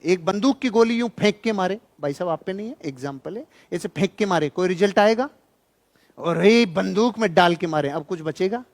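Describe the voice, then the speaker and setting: A man speaking as a motivational speaker at a seminar, emphatic and colloquial, asking rhetorical questions and answering them punchily. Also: high voice. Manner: colloquial, jokey asides to listeners. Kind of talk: motivational seminar talk to a live audience.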